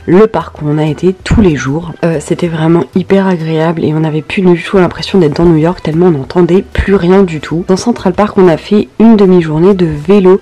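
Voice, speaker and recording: A woman's voice: lowish voice, dark tone. A woman narrating her travel vlog, chatty and enthusiastic, giving tips to her viewers. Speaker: travel vlogger. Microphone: basic built-in mic.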